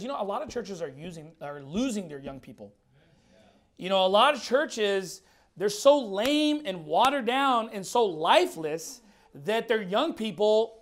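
High-voiced preacher in his thirties, hoarse. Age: 30s. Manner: emphatic, blunt, repeats words. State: losing his voice. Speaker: pastor. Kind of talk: fiery sermon. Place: church.

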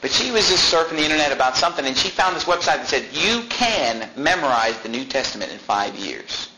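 A man's voice: fairly high pitch, lively intonation. A man in his twenties telling a personal story to a live audience in a chatty, self-deprecating way. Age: 20s. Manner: chatty and self-deprecating, with frequent "I mean" and quick laughs. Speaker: speaker addressing a congregation. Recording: echoey room, faint background noise.

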